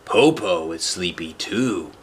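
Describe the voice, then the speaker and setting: Storybook villain: a man plays a sly old wolf disguised as granny, deep, coaxing, unhurried. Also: lively intonation. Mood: feigned sweetness. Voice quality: low voice. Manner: slow, drawn-out, coaxing. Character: cunning old wolf posing as kindly grandmother.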